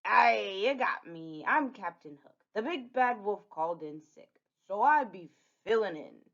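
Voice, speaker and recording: A woman's voice: medium pitch, lively intonation, unhurried. A woman voicing a blustering male pirate villain from a storybook, talking in pirate speak on a dull recording. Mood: sly, blustering. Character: gruff pirate villain posing as big bad wolf.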